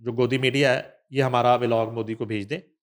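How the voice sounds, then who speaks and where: A man with a Pakistani accent hosting a news talk show, reading an article aloud and adding conversational asides in an emphatic, deliberate manner. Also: medium pitch, steady tone.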